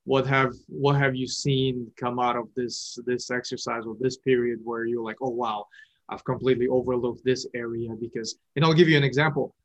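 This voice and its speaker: A man's voice: medium pitch, little pitch movement, normal speed, low-quality recording. A man from Kazakhstan interviewing a guest in a casual chat, talking loosely with many fillers and restarts. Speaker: interviewer, investor.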